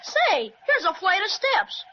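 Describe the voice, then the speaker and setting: A woman playing an eager, excitable young boy in an old radio serial. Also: very high-pitched, slow, a little hiss or hum, full character voice. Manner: eager, exclaiming. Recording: muffled 1930s radio recording.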